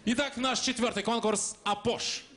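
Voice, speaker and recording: A man's voice: very high-pitched, steady tone, normal speed, bright, forceful tone. A middle-aged man presenting a comedy show on stage with joking, theatrical banter into a handheld microphone. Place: television studio stage with audience. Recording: stage mic with faint studio noise.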